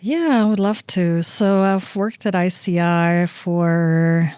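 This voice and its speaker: Brief: middle-aged woman from Czechia, low voice, dry close mic, measured and thoughtful podcast guest. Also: a little mellow, clear, resonant tone, no echo. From Czech Republic. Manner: thoughtful, measured, with frequent "you know" fillers. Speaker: research associate guest. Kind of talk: casual interview answers.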